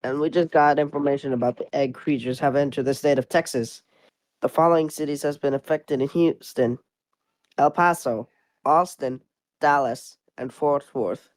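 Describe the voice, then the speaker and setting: A man's voice: medium pitch, moderate pace, no room sound, close to an everyday voice, rough recording. A man playing a local TV news reporter giving a breaking report. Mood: formal announcing. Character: local TV news reporter facing giant monster eggs.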